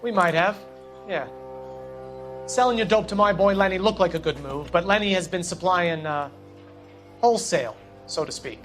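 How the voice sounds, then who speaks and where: An actor playing a sardonic, menacing 1940s gangster boss with an American accent, needling in a dramatic video game cutscene. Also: male voice, sits high, moderate pace, long pauses, a bit bright, soft background music. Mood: mocking, menacing.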